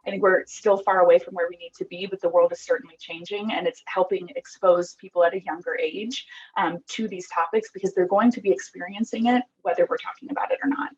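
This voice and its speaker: Fast-talking husky woman, podcast guest. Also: on the low side, fairly even intonation, a little mellow, lo-fi sound. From Costa Rica. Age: 30s. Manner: open, earnest, many ums and likes. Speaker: podcast guest, app founder. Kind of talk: reflective interview talk.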